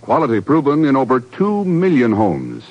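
A man's voice: medium pitch, wildly swooping pitch, moderate pace, no echo. A man with an American accent, a polished, emphatic 1950s radio announcer reading scripted copy. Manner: emphatic, polished sales delivery. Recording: muffled 1950s radio broadcast.

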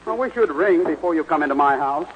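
An actor playing a harried, meek suburban husband and would-be poet, earnest and flustered, in a 1940s radio comedy. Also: male voice, on the high side, animated, quick pace, dull audio, slight background noise, close to an everyday voice. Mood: irritated.

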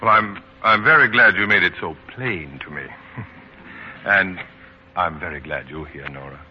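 Actor as a low-voiced, wry American newspaperman. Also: moderate pace, lightly acted. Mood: gentle, amused. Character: principled, wry American newspaperman chafing under his boss. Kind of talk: 1940s radio acting. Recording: muffled, noisy 1940s radio recording.

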